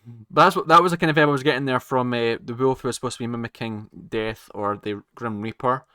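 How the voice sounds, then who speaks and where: A man giving casual reaction commentary as a channel host, halting and rambling as he circles back to repeat himself. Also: medium pitch, sharp tone, clear, resonant tone, no room sound, clean studio sound.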